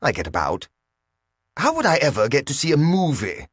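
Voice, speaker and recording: A man's voice: medium pitch, wildly swooping pitch, moderate pace, breathy edge, dry recording, studio quality, pitched above the performer's own voice. A man voicing a touchy little translator gadget that talks with a put-on foreign accent and takes offense easily. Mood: indignant. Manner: prickly, huffy, easily insulted.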